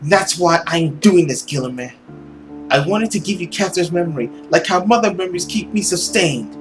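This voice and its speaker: Man voicing a stern, reproachful cursed woman, higher-pitched. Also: lively intonation, moderate pace, faint music behind. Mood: pleading. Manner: earnest, reproachful, dramatic. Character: stern, principled woman under a feeding curse.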